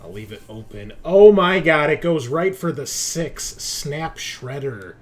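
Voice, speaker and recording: A man's voice: fairly high pitch, lively intonation, measured pace. Enthusiastic male game master over background music. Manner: enthusiastic, swears casually. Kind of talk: running a tabletop roleplaying game, casual. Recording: music playing behind the voice.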